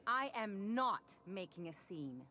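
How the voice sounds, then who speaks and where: A woman's voice: mid-range voice, sing-song, unhurried, sharp tone, a little hiss or hum. A woman playing a stubborn, indignant doctor in a video game, assertive and sardonic.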